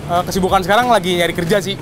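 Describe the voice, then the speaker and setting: 20s guy, quick slangy street interview answer, music under it. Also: high-pitched, steady tone.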